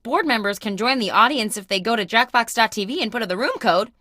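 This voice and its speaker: Casting: comic game boss, self-important and oblivious, highish voice, wildly animated phone manner, dry close recording. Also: female voice, quick pace, no echo. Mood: curt, bossy. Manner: bossy, exaggerated, theatrical delivery. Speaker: video game character host. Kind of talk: comic scripted phone monologues.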